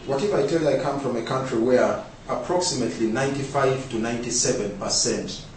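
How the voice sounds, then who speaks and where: Man, conference talk, rhetorical questions, distant mic, faint hiss. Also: lowish voice. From Uganda. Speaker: conference presenter. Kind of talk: conference presentation. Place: large conference hall. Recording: echoey hall, speaker far from mic.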